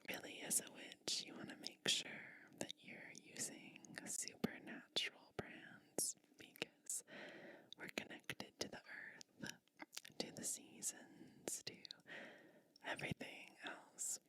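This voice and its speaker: A woman whispers slowly as a kindly cottage witch in an ASMR roleplay. She is attentive and soothing, addressing the listener directly. Her hushed voice is crisp and very bright. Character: kind, nurturing cottage witch. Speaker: ASMR performer playing a witch.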